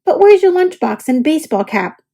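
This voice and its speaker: A woman voicing a kindly frog mother in a children's storybook, soothing and gently chiding. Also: sits high, sing-song, brisk, voice pushed up.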